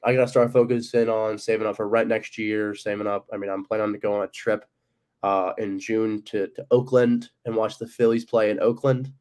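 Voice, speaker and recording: A man's voice: on the low side, little pitch movement, fairly quick, a little mellow. A young man with a stuffy, cold-clogged voice, hosting a casual sports podcast and rambling with lots of ums and likes. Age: young adult. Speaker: podcast host.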